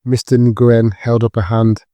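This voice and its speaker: A man's voice: mid-range voice, level tone, unhurried, dark tone, no room sound. A man reading scripted narration for an audio drama.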